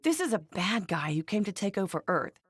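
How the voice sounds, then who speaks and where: A woman voicing a fretful, scolding anime mother in a video game, blunt and expressive. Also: medium pitch, a little fast, breathy edge, dry recording, studio quality. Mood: matter-of-fact. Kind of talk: animated game voice acting.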